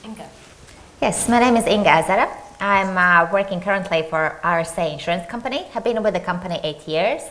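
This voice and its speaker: Latvian-accented woman, measured panelist. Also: on the low side, fairly bright tone, low-quality recording. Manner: measured, listing things one by one. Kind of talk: conference panel introduction.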